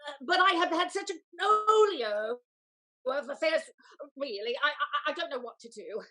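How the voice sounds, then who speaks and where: A woman's voice: sits high, expressive, normal speed, sharp tone. A woman playing a vain, flustered older aristocratic aunt in a Restoration comedy, effusive and stammering, full of exclamations. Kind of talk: theatrical Restoration comedy acting.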